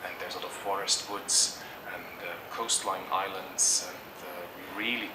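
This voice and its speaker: A man's voice: low voice, fairly even intonation, normal speed. A middle-aged man from Germany answers interview questions thoughtfully, hesitating and restarting often. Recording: echoey, distant mic, noisy background.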